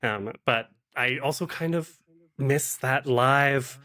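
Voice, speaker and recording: A man's voice: medium pitch, slow pace, pushed and bright. A man speaking as a podcast guest in a casual conversation, with hesitant, reflective phrasing and repeated words. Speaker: podcast guest, actual play streamer and producer.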